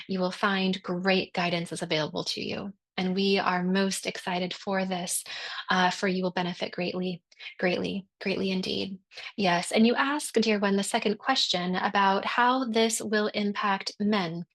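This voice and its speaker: A woman delivering a channeled spiritual message in a slow, halting way, often repeating words and calling her listeners "dear ones.". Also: mid-range voice, fairly bright tone.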